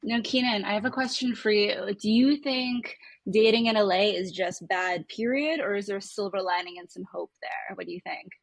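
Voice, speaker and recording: A woman with a medium-pitched voice and plenty of vocal fry. She chats casually as a podcast guest, and the sound is dry, without room echo. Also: moderate pace, hardly any pauses. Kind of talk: casual chat.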